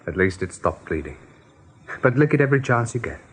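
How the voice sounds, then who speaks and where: An actor playing a calm, protective male rabbit leader in a radio play, with a very husky voice. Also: medium pitch, brisk. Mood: concerned. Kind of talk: radio drama acting.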